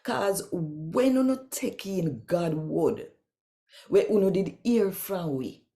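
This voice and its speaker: Jamaican lady reading bible in patois, really slow and soft-toned, expressive storyteller emphasis. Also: on the low side. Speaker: scripture reader.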